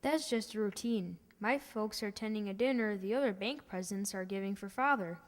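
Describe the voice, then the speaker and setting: A medium-pitched voice carries through a long hall reverb. It is a woman as a small boy peddler, polite and shrewd, in old-time radio comedy style. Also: brisk, lightly acted. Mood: matter-of-fact, sly. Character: polite, shrewd young boy selling Christmas trees.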